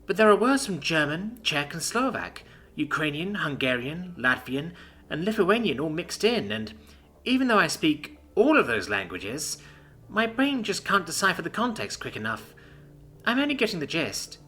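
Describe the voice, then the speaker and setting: A man voicing an earnest young adult woman who speaks many languages, in a soft, high voice. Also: very animated, normal speed, breathy edge, full character voice.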